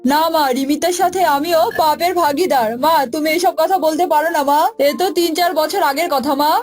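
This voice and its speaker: A woman's voice: high-pitched, normal speed, lightly acted. A woman voicing an anxious, devoted young groom in a cartoon, earnest and pleading. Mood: protective, pleading. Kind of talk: cartoon dialogue acting. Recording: music bed behind voice.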